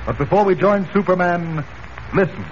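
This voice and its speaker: High-pitched dramatic 1940s radio announcer, male. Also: normal speed. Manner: dramatic, declamatory. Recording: muffled, slightly noisy old radio recording.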